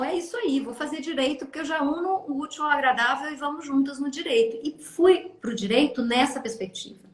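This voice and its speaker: Animated Brazilian woman telling her story. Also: high-pitched. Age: 50s. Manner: animated, acts out quoted voices, frequent "né?". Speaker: guest, family constellation therapist. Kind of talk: interview storytelling. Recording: echoey room.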